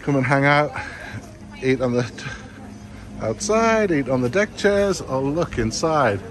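A man's voice: mid-range voice, lively intonation, a little slow. A man narrating a walking tour of a food court, exclaiming with enthusiasm over the dishes. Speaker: vlogger touring a food court. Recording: noisy outdoor street recording.

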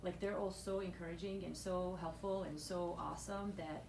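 Gravelly-voiced woman from Bosnia. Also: on the low side, steady tone, moderate pace. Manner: chatty, frequent restarts and fillers. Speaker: weight loss client guest. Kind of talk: candid radio interview. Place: radio studio with windows. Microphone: boom mic, seen from afar. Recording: echoey studio, far camera mic, noisy.

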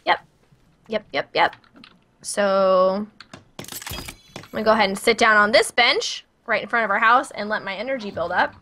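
A woman's voice: medium pitch, moderate pace, long pauses, bright, forceful tone, a little hiss or hum. A woman with a Midwestern American accent, chatting casually as she streams a co-op video game. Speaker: gaming streamer. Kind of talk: casual co-op gameplay chat.

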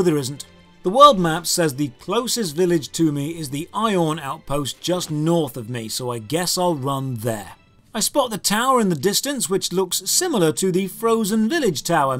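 A British man in his thirties narrating a scripted game review in a sardonic, critical manner. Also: high-pitched. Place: small room lined with game shelves.

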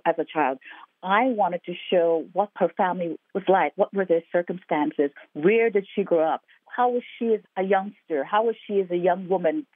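A woman's voice: on the low side, no room sound. A woman from Guyana, an actor and playwright, speaking earnestly in an interview and building her points in repeated phrases. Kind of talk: interview over the phone. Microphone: telephone. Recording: tinny phone line.

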